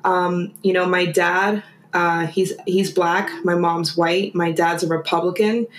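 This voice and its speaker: A guest from Germany chats in a loose, rambling way, her thoughts full of fillers and restarts. Her voice sits on the low side for a woman, and the recording carries an echoey room with a faint bed of noise behind her. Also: fairly even intonation, a little slow. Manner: chatty and rambling, with many "like" and "um" fillers. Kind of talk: casual conversation.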